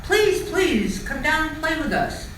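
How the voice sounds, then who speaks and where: A woman's voice: high-pitched, very animated, a little slow, a bit bright, pitched above the performer's own voice, heavily acted. A woman voicing snobbish rabbits and mice in a children's storybook, with blunt, emphatic delivery. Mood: pleading, fawning. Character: snobbish playground-bully small animals, rabbits and mice. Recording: echoey room, distant mic, faint noise.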